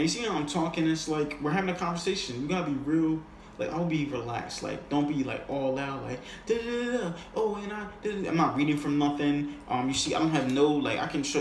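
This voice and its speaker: A man with a mid-pitched voice talks like a sales coach in an unscripted lesson. He is conversational and slangy, often checking that the listener follows. The room is clearly echoey, with faint noise behind him. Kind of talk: casual, unscripted instructional talk.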